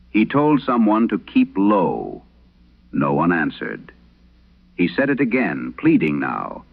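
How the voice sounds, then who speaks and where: Deep-voiced old priest played by an actor, grave and haunted. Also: male voice, gravelly, phone-quality sound, heavily acted. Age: older. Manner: solemn, measured storytelling. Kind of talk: dramatic scripted horror radio monologue.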